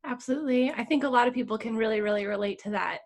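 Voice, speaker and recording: Fast, husky, higher-pitched woman. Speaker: interview host. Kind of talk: conversational interview hosting.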